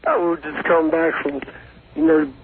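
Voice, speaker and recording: A noisy, tinny phone line carries a high-pitched man with a gravelly creak. He rambles casually in slang, halting and evasive as he answers. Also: huge pitch swings, a crowd in the background. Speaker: phone-in guest.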